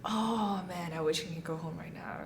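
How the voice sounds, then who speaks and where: A young woman from Singapore, answering interview questions in a chatty, animated way. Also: lowish voice, normal speed. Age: young adult. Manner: chatty, animated, laughs easily. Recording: roomy echoey room, faint noise.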